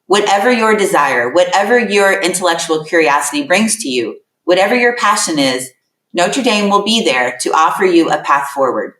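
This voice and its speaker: A woman's voice: fairly low pitch, lively intonation, moderate pace, a bit bright. Emphatic woman host in a roomy space. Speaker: admissions vice president hosting a webinar. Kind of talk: welcoming webinar address. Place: room with a laptop. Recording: roomy echo, mic at a distance.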